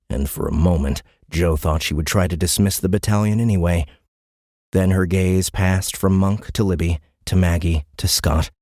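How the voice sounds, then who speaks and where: A man narrating a sci-fi audiobook in a dramatic, deliberate storytelling style. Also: sits low, a little mellow, no echo, studio quality. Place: dry studio.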